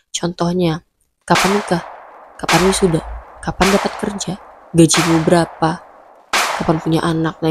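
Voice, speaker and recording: A young woman with a stuffy, blocked-up nose talks through friendly advice in a relaxed vlog. Her voice sits a little low and mellow. The sound is dry and close, with no room around it. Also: no echo. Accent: Indonesian. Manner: chatty, mixes English phrases, playful scolding. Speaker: vlogger.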